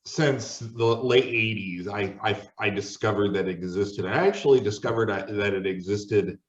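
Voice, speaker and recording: A man's voice: fairly low pitch, normal speed. Distant, roomy middle-aged man hosting. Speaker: host. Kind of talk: casual panel discussion chat. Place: indoor room shared with friends. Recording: roomy, distant, dull sound.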